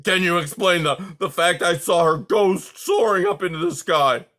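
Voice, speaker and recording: A man's voice: high voice, moderate pace, voice pushed up. A man voicing an anxious, fussy old male porcupine who stammers and wails. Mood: wailing. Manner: stammering, wailing and shouting.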